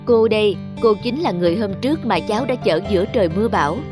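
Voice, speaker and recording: An actress playing a kind, grateful middle-aged woman in a cartoon, speaking earnestly and in a measured way. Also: mid-range voice, lively intonation, natural voice. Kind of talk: cartoon dubbing. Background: music.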